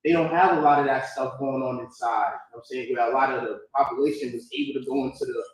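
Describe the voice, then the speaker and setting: A man's voice: mid-range voice, expressive, fast. A man with a New York accent speaking candidly and informally in an unscripted panel talk, using street slang and repeating himself. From New York, United States. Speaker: panel speaker, former prisoner. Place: room at a live community event. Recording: echoey room, distant mic.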